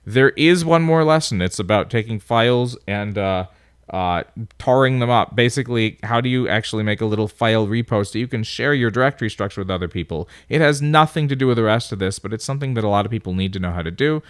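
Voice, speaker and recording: A man's voice: medium pitch, animated, fast, clean tone, no room sound, clean studio sound. A man with a nasal voice casually talking through a coding tutorial, thinking aloud as he types commands. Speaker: instructor. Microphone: voice-over on a screen recording.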